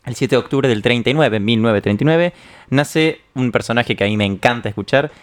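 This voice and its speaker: A man rattles through a list of historical dates at a quick clip. His voice is an ordinary male middle, and his reading is matter-of-fact, with a small personal aside here and there. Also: medium pitch. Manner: brisk, matter-of-fact listing. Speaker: radio co-host reading anniversaries.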